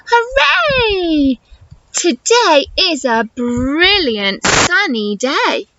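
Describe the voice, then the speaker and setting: A woman teacher leads a children's storytime in a high, very animated voice. She speaks slowly and encouragingly, with exaggerated intonation for little ones. The recording is slightly muffled and noisy. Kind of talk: children's storytime read-aloud with questions and explanations.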